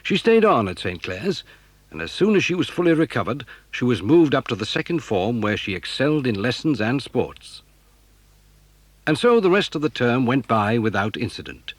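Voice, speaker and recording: Animated, husky male storyteller. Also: mid-range voice, moderate pace, no echo. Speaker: narrator. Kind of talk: scripted storybook narration.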